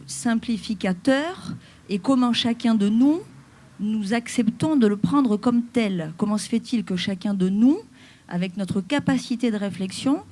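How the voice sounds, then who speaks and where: A woman speaking on a debate panel, measured and deliberate, stressing her words as she explains her work with people she supports. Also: medium pitch, dark tone. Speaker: panelist, social worker with the homeless and Roma.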